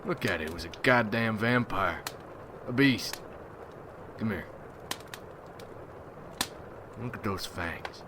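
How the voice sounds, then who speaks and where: A man playing a gruff, hardened vampire hunter in an ASMR roleplay, speaking in folksy rustic slang as he mentors a newcomer. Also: fairly low pitch, lively intonation, measured pace, background noise. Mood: scornful.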